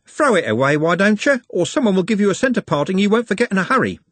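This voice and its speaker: A brash cart driver from a storybook voiced by a male narrator. He banters bluntly in a raised, animated voice, slightly husky and closely recorded. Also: high voice, fast talker, dry recording, pitched above the performer's own voice, full character voice. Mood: cheeky, blunt.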